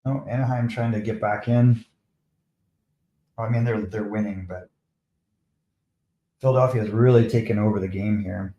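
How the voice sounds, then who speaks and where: A man's voice: on the low side, steady tone, normal speed, pauses often, dark tone, roomy sound, highs cut off, a little hiss or hum. A man from Canada hosting a live hockey stream, commenting on the game and answering viewers in a chatty, defensive way. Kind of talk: casual live commentary, replying to chat.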